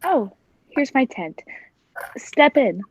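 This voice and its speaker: An actress playing a sly, scheming circus tiger tamer in a 1940s-style radio play, speaking in a pointed, probing way. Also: female voice, high voice, very animated, slow, slightly rough, no room sound, muffled recording, heavily acted.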